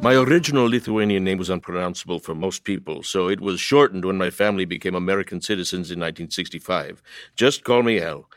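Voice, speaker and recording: A man playing an earnest adult telling his conversion testimony in a scripted, expressive radio storytelling style. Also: deep voice, no room sound, clean studio sound.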